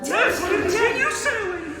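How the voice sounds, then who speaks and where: Extremely high, squeaky little creature. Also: female voice, expressive, measured pace. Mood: gleeful. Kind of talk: video game voice acting. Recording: roomy echo under loud music.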